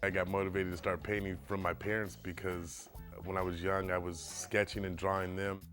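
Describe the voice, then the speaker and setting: A middle-aged man who paints shoes, talking about his work in a documentary interview in a relaxed, rambling way with many fillers. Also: low-pitched, normal speed, faint music behind. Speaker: shoe painter.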